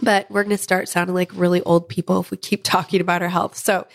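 A woman in her thirties hosting a podcast with her husband, her voice hoarse from a head cold, chatting casually and laughing now and then. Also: on the low side, little pitch movement, quick pace. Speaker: podcast host.

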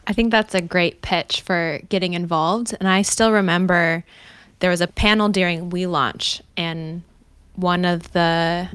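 A woman's voice: on the low side, fairly even intonation, measured pace, fairly bright tone, clear, resonant tone, no echo. A woman interviewing a fellow student, friendly and encouraging, often laughing lightly.

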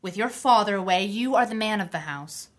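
An actress playing a young mother in her thirties who speaks firmly to her son and gently to her little daughter. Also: mid-range voice, animated, brisk, natural voice. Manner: firm, gentle maternal. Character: young mother, firm yet tender, steady in crisis.

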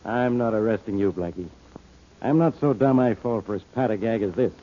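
An actor playing a gruff, sarcastic police inspector in an old-time radio detective drama, blunt and needling with a rough-edged voice. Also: male voice, fairly low pitch, fairly quick, dull audio, lightly acted. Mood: exasperated, accusing. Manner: blunt, needling, hard-boiled delivery.

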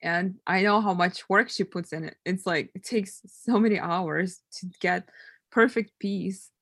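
A woman's voice: fairly low pitch, steady tone, fairly bright tone, no echo. Ukrainian-accented woman, dry close sound. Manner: non-native grammar, measured and earnest. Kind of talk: casual chat.

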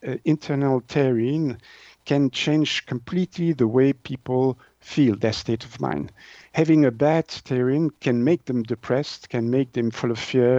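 A man's voice: mid-range voice, gravelly, darkish tone, no room sound, muffled recording. A man from Switzerland with a Swiss French accent, explaining things as an interview guest in his second language, often searching for words.

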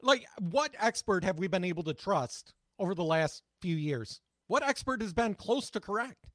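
A man co-hosting a political talk podcast in a high, fairly light voice. He speaks bluntly and mocks what he hears, swearing freely. The recording is dry and close. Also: lively intonation, normal speed, a bit bright, no room sound. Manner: blunt, profane, sarcastic commentary. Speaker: podcast co-host. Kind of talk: casual political chat.

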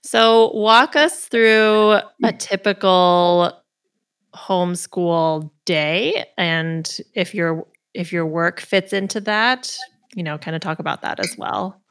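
A woman's voice: on the low side, slow, fairly bright tone, clean tone. A woman with an American accent, hosting a casual conversational interview and sharing her own anecdotes. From United States, Ohio. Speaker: interview host.

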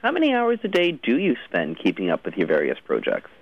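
A man's voice: mid-range voice, wildly swooping pitch, a little fast, gravelly, no room sound, muffled recording. A man hosting a conversational interview, putting questions and comments to his guest. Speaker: radio or podcast host interviewing a guest.

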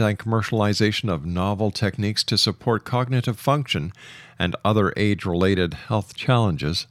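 A man's voice: lowish voice, no room sound, studio quality. A man hosting a radio interview show, introducing things in a formal announcer-like manner.

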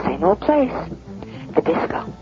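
A woman's voice: measured pace, natural voice. An actress playing a seductive, sinister woman who mocks a man and has come to collect his soul. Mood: mocking. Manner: teasing, mocking and cold. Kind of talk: 1980s radio drama acting. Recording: dull lo-fi radio recording with music.

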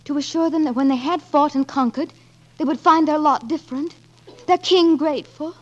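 A woman's voice: high voice, steady tone, normal speed, highs cut off, lightly acted. An actress playing an earnest young noblewoman in a pleading, melodramatic 1940s radio drama style. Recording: 1940s radio broadcast with music behind.

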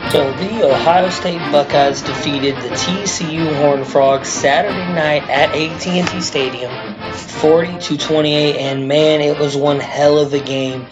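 A man with a hoarse, worn-out voice hosting a casual sports podcast, rambling excitedly as a fan. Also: fairly high pitch, measured pace, dull audio, over loud music. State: hoarse from screaming. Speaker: podcast host.

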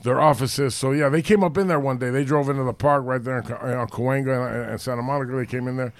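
A middle-aged man from Los Angeles with a deep, loud voice, telling blunt street stories full of swearing in a casual chat. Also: steady tone, quick pace. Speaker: podcast co-host.

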